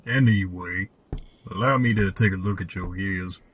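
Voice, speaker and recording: A man's voice: low-pitched, moderate pace, highs cut off, very noisy, lightly acted. A man playing a cryptic old cave hermit and mechanic in an audio drama, with a solemn, old-fashioned storyteller's delivery. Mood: dismissive. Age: older. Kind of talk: fan audio drama acting.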